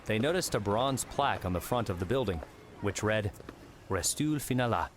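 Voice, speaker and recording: A man's voice: on the low side, brisk. A man reading scripted audiobook narration as the narrator of a novel. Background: soft music.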